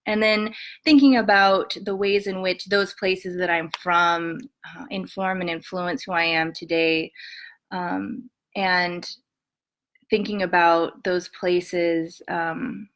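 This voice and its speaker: Soft-spoken woman reading her poems at home, lots of ums between them, laptop mic sound. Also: lowish voice, fairly even intonation, slow. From Montana and western Colorado, USA. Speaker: poet reading her work. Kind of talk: poetry reading with spoken introductions.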